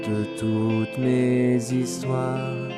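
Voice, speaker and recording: A man voicing a gentle, loving demon owl father in a theatrical cartoon fan dub, with music behind him. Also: mid-range voice, level tone, very slow. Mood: sung, melancholy. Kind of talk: animated series fan dub.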